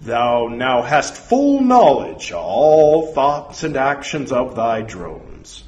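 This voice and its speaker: Man playing slow, pompous, ominous commanding sergeant. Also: medium pitch, big pitch swings, a little mellow. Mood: instructing, grave. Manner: ominous, pompous, archaic speech. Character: scheming, commanding Redbird sergeant posing as ominous divine voice.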